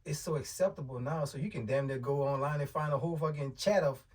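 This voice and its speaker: A man hosting a podcast, blunt and quick, swearing freely. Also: fairly high pitch, darkish tone, far from the mic. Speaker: podcast host. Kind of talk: casual podcast chat.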